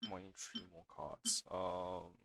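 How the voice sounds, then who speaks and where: A man's voice: sits low, very animated, slow pace, creaky, heavy background noise. A man casually commentating on a game live, thinking aloud in a hesitant way. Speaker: game streamer.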